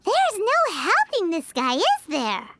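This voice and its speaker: A woman voicing a tiny, chirpy female fairy companion in a video game, with high, bouncy, childlike chatter. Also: wildly swooping pitch, slow, noisy background, heavily acted. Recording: game audio with music behind.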